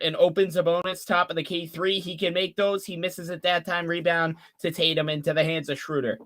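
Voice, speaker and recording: A man of twenty giving fan play-by-play on a live stream, calling the action quickly and chatting with viewers between plays. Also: a little high, sharp tone. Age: 20s. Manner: rapid call of the action mixed with chatty asides to viewers.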